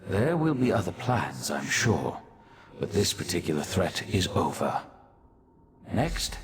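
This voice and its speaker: A man playing an ancient, wise and weary demigod in a fantasy game, speaking in a grave, formal, measured way. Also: lowish voice, noisy background. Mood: solemn approval. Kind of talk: scripted fantasy game dialogue.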